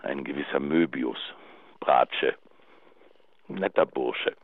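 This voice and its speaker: An actor playing a betrayed, bitter husband leaving emotional, halting phone messages in a German radio drama. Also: male voice, a bass voice, no room sound, full character voice. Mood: wounded, wistful. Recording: dull answering-machine sound, faint noise.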